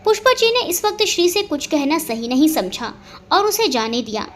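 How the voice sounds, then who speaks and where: A woman's voice: sits high, sing-song, normal speed, slight background noise. A woman narrating a scripted family romance story as a dramatic storyteller.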